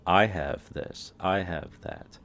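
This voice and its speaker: A man teaching a recorded language lesson. His voice sits very low, and he walks through each point patiently, often checking in with "right?" as he goes. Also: wildly swooping pitch, takes it slowly, creaky, a bit bright.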